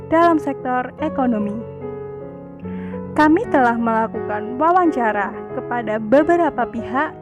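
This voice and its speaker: A woman's voice: a little high, lo-fi sound. A young adult woman from Indonesia reads scripted narration as a student narrator. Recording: music behind the voice. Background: background music.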